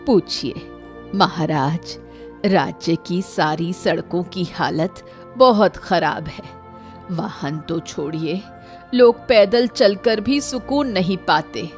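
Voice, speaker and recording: Woman playing a low, slow-talking old woman. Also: animated, darkish tone, soft background music. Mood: respectful, complaining. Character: plain-spoken, respectful old village woman. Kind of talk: Hindi cartoon story voice acting.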